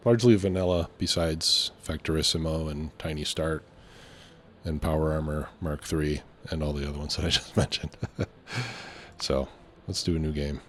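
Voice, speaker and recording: A man with a slightly congested voice from a lingering cold, hosting a casual let's play and thinking aloud hesitantly. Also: sits low. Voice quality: slightly stuffy, congested nose. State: getting over a cold. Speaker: gaming video host.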